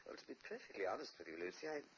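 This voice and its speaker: An actor playing a gentle, devoted husband, mild and a little hesitant, in a 1940s radio play. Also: male voice, mid-range voice, big pitch swings, racing, lo-fi sound. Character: gentle devoted husband, soft-spoken, self-effacing. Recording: hissy old radio recording.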